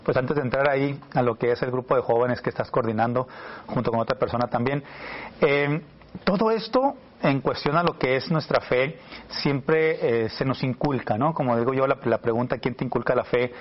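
A man's voice: medium pitch, normal speed, lo-fi sound. A man hosting a conversational interview program in an easy question-and-comment style. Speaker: talk show host.

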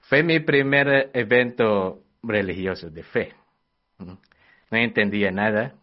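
Young filipino guy, low voice, earnest and a bit halting, second-language spanish, kinda muffled recording. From Philippines. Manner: earnest, halting, searching for words. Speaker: missionary guest. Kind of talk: personal testimony in an interview. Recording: dull lo-fi sound.

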